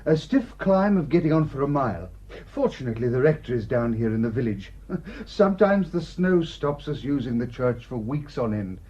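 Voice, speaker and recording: An actor playing a genial, fussy, absent-minded country rector with an English accent, speaking with hesitant courtesy and old-fashioned diction in a radio drama. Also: male voice, medium pitch, sing-song, normal speed, mellow, husky, muffled recording. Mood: cheerful.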